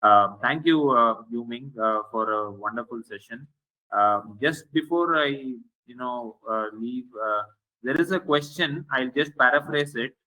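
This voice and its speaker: A man moderating a webinar over a video call. He speaks hesitantly with frequent pauses. His tone is bright and forward. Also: medium pitch, rough recording.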